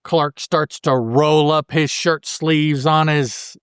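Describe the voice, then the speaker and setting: A man's voice: a little high, steady tone, slow pace, fairly bright tone, clear, resonant tone, dry recording, clean studio sound. A man hosting a podcast, recapping a comic book in a casual, colloquial way with joking asides. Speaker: podcast host.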